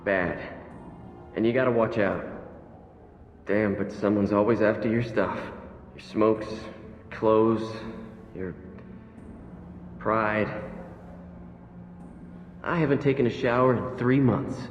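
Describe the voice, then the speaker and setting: A man's voice: fairly low pitch, takes it slowly, pauses often. A man playing a jaded, streetwise prison inmate in a video game, slangy, blunt and matter-of-fact. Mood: jaded, resigned. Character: jaded streetwise male convict, rough and resigned. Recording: echoey, muffled, faint music.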